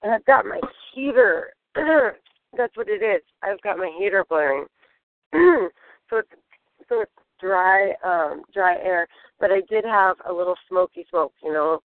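A hoarse woman who is losing her voice, chatting in a rambling, easygoing way from inside her parked car. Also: fairly low pitch, a little slow, no room sound. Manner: chatty, rambling, laughs easily. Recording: dry narrow phone line.

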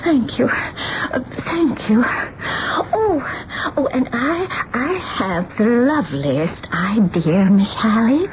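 High-voiced, fretful older seamstress. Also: female voice, very animated. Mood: tremulous relief. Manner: fretful, halting, stammering, repeating words. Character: frail, anxious, pleading older spinster seamstress, devoted to her work. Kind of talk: radio drama acting. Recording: dull, noisy old radio broadcast.

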